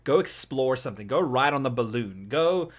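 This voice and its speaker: A man's voice: mid-range voice, lively intonation, normal speed. A man co-hosting a casual gaming panel discussion, often repeating and restarting his phrases as he talks. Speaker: podcast co-host.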